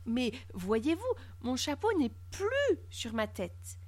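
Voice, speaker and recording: Lady doing a little nervous polite boy in a kids story, high voice, stammers a lot. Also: wildly swooping pitch, takes it slowly, full character voice. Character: timid, flustered, polite boy peasant. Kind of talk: storybook character acting.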